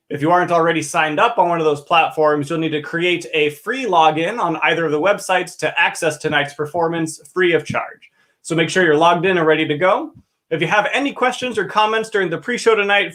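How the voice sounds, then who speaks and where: A man's voice: on the high side, a bit bright. A man hosting a livestream pre-show for an opera company, presenting from a script in an upbeat, enthusiastic way. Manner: upbeat, enthusiastic, clear announcing.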